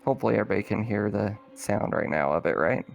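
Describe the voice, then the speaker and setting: A man running an online tabletop roleplaying game as game master, informal and halting as he sets the scene. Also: fairly low pitch, vocal fry, faint music behind.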